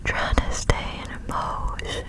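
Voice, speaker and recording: A woman doing ASMR, softly singing and whispering song lyrics in a breathy, intimate way. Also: unhurried. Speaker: ASMR performer.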